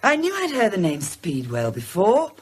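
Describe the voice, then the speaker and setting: A woman's voice: medium pitch, huge pitch swings, normal speed, a little hiss or hum. An actress playing an inquisitive, decisive married woman in a British radio drama, speaking in a musing, emphatic way. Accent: British English.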